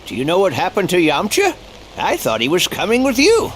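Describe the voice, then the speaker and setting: Lecherous old master, high and swooping. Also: male voice, huge pitch swings, fairly quick, a bit bright, a little hiss or hum, heavily acted. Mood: curious. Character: lecherous elderly martial arts master, small old man. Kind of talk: English anime game voice acting.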